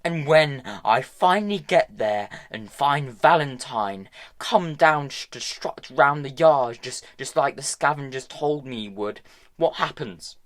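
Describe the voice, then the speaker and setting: A man's voice: medium pitch, animated, unhurried, a bit bright, pitched above the performer's own voice. A man voicing a fierce, scornful young woman. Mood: bitter. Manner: curt, angry and mocking.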